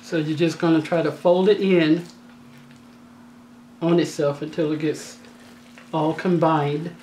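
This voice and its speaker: A woman with a slightly raspy voice hosting a home cooking tutorial, talking in a chatty, down-to-earth way with joking asides. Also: low voice, fairly even intonation, measured pace, mellow, slight background noise. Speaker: cooking channel host. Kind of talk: casual cooking tutorial voice-over. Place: home kitchen.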